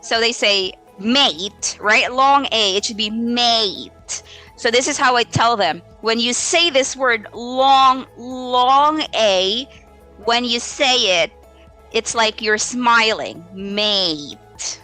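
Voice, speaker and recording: A woman's voice: a little high, slow pace, pushed and bright, soft background music. A woman with a Filipino accent giving informal live teaching advice, thinking aloud and searching for words as she explains with spoken examples. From Philippines. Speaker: English teacher hosting a live Q&A.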